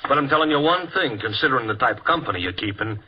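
An actor playing a streetwise, wisecracking cab driver with a slangy, grumbling manner in a 1950s radio drama. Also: male voice, medium pitch, lively intonation, rapid-fire, husky. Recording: dull, noisy 1950s radio recording.

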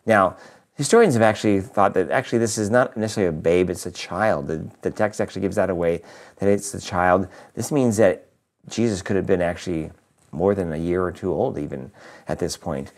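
A man's voice: low-pitched, brisk. A priest in his fifties, sounding a little nasal from a cold, talks through a weekly video reflection in a chatty, upbeat way with fillers and restarts, recorded close to a camera in his living room. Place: living room with a Christmas tree, indoors.

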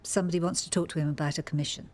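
Breezy woman, lowish voice. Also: steady tone, rapid-fire, a little mellow. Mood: breezy, defensive. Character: breezy, cheeky, dismissive wife. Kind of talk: naturalistic radio soap acting.

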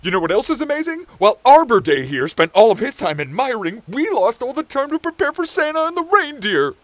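An impatient giant lumberjack hero, acted by a man in an audio drama. He is loud and sarcastic, pushing his pitch high and swinging it widely in exasperation. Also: big pitch swings, a little fast, dry recording. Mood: exasperated.

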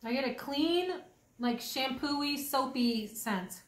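A woman's voice: sits high, animated. A woman hosting a casual perfume review, talking in a chatty, thoughtful way. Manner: chatty, thoughtful, drawn-out pronunciations. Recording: echoey room.

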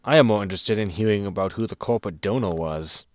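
An actor playing a blunt, impatient spaceship captain in a sci-fi comedy audio drama, talking casually. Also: male voice, fairly low pitch, fast talker, dry recording, natural voice.

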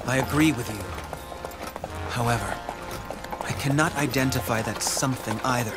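An actor playing a shrewd, composed young strategist in a dramatic video game, speaking in formal, measured phrases. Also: male voice, medium pitch, over loud music, lightly acted. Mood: reflective.

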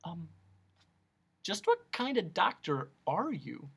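A man's voice: high voice, big pitch swings, unhurried, a bit bright, breathy edge, voice pushed up, exaggerated voice. A narrator voicing a wary young boy in a storybook, asking questions in a hesitant, politely curious way. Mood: suspicious.

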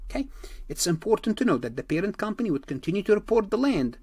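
Male accounting lecturer, slightly high voice, emphatic explainer who repeats key points, dry close recording. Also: very animated, fast talker, a little mellow, breathy edge, no room sound. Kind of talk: exam-prep lecture explaining worked examples.

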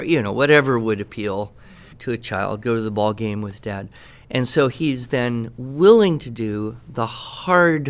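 A man giving conversational expert advice on a podcast, explaining in measured phrases with pauses mid-sentence. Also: fairly low pitch. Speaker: education expert answering questions.

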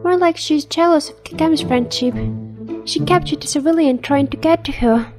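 A woman's voice: sits high, moderate pace, music in the background, lightly acted. A woman voicing an earnest, level-headed teenage superheroine in a comic dub, with a practical manner.

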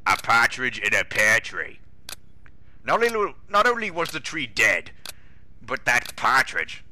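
A man's voice: mid-range voice, huge pitch swings, unhurried, sharp tone, no echo, pitched above the performer's own voice, full character voice. A man voicing a pompous, exasperated older railway boss with a British accent, grumbling indignantly in a comic dramatic reading.

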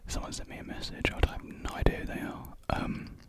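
A young man speaks entirely in a soft, airy whisper, right up against a condenser microphone in a small room. He rambles informally, as in a relaxed ASMR chat between friends. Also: brisk. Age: young adult. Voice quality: soft breathy unvoiced whisper. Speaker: ASMR creator. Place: small indoor room with painted block walls. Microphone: large desk condenser mic close to the mouth.

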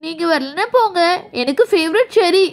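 Eager, exclaiming young girl for a children's toy tale, extremely high pitch, played by a woman. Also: expressive, moderate pace. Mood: cheerful. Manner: exclaiming, chatty. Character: cheerful, eager little girl playing with friends. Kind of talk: children's toy play story.

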